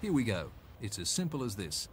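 A man's voice: fairly low pitch, big pitch swings, brisk. Australian male educational film narrator, noisy soundtrack. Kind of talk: scripted narration, 1980s road safety film.

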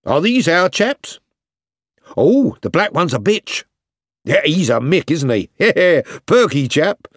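Man doing a chirpy, high-pitched young British soldier. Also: big pitch swings, takes it slowly, dry recording, clean studio sound, heavily acted. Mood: cheery. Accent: British English. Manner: chirpy, joking. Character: cheery young male soldiers bantering. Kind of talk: storybook character voice.

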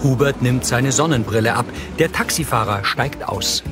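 A man's voice: mid-range voice, normal speed. Male audio description narrator, music behind.

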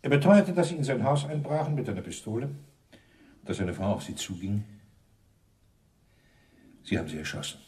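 An actor playing a dogged, sarcastic police inspector who questions in a probing, dry way, in radio crime drama style. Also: male voice, medium pitch, normal speed, long pauses, a little mellow, recorded from a distance, close to an everyday voice.

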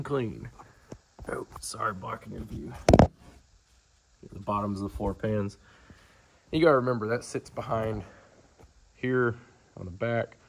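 This is a man with a low-pitched voice that often drops into a gravelly fry. He talks like a dealer showing off a vehicle on camera, informal and unscripted. His delivery is matter-of-fact and rambles a little from one point to the next. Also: slight background noise. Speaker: car dealer presenting a vehicle. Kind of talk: casual off-the-cuff sales walkaround. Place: large indoor car showroom. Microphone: handheld camera, speaker behind it.

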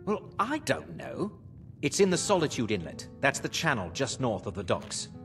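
A man's voice: mid-range voice, wildly swooping pitch, moderate pace, fairly bright tone, soft background music. An actor playing an anxious, scheming, pompous nobleman in a fantasy game, with formal and dramatic delivery. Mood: urgent, conspiratorial. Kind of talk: fantasy video game acting.

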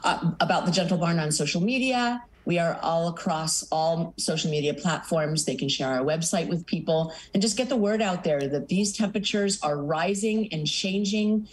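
A middle-aged woman speaks over a video call from a home office, her voice sitting on the low side. She is earnest and steady, often reeling off long lists as she answers a news anchor's questions. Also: moderate pace, hardly any pauses. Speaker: animal sanctuary founder. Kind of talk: remote news interview. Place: home office with pictures on the wall. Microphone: video call webcam.

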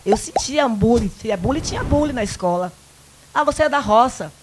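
A woman in her fifties from the Bahia countryside with a Bahian Brazilian accent, a guest in a small local studio interview, telling lively personal stories into a handheld mic and acting out the dialogue. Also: on the high side, fairly quick, slight background noise. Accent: Bahian Brazilian Portuguese. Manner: animated, acts out quoted dialogue, frequent "né?". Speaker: guest, event producer. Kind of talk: casual interview storytelling.

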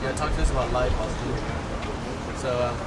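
A man's voice: mid-range voice, steady tone, a little fast, heavy background noise. A young man casually narrating a travel vlog into a handheld camera, easygoing and chatty. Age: young adult. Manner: easygoing, filming and narrating the day. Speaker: vlogger. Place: hotel room, beach cafe, outdoors.

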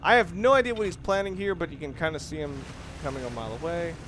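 A man giving casual, off-the-cuff video game commentary, explaining things in a rambling, halting way. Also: on the high side, a bit bright. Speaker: let's play gamer. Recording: noisy, game sounds behind the voice. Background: video game sounds of boat and water.

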